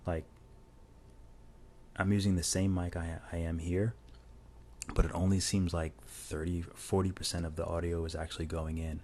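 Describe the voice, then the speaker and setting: Deep voiced guy narrating his drawing tutorial, laid back and rambly, lav mic on a phone, bit of hiss. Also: normal speed. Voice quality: low voice. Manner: rambling, relaxed, many restarts. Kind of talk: casual voice-over while drawing. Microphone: lavalier mic plugged into a phone.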